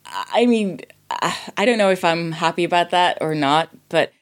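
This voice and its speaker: A woman's voice: on the low side, lively intonation, unhurried. A woman from Sweden, talking casually about film as a podcast guest.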